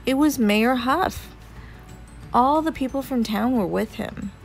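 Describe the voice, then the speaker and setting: A woman reading a children's story aloud as an expressive storyteller. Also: a little high, takes it slowly, gravelly, faint music behind.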